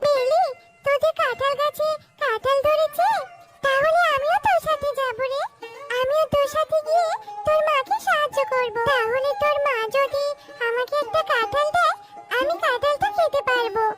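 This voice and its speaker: Someone doing a tiny squeaky fox girl, eager and pleading, kids cartoon with music under it. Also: normal speed. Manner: childlike, coaxing. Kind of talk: children's cartoon dubbing.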